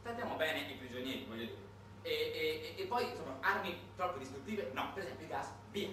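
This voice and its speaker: Casting: male stage monologist, very animated and dramatic, satirical storytelling; heavily echoing, distant recording. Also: on the high side. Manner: dramatic delivery swinging between hushed and shouted. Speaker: stage monologist, writer-performer. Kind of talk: theatrical satirical narrative monologue. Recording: echoey, noisy recording from a distance.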